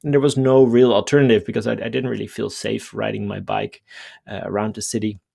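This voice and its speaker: A man in his thirties from the Netherlands with a Dutch accent, a guest talking about his work in a relaxed, conversational way over a headset on a video call. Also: lowish voice, fairly quick, gravelly, slightly rough. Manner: relaxed, conversational, thinking aloud. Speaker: guest, bicycle planner. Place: home room, indoors.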